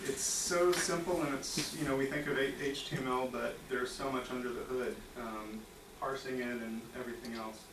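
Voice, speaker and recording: A man from the United States giving a relaxed, chatty talk at a tech meetup, with fillers and small laughs. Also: mid-range voice. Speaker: conference speaker. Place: meeting room at a tech office. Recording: echoey room, distant mic, noisy.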